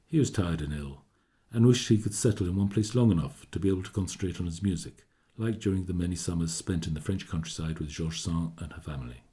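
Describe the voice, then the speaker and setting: A male narrator with a deep, extremely mellow voice. He tells a historical account in a measured, formal documentary style.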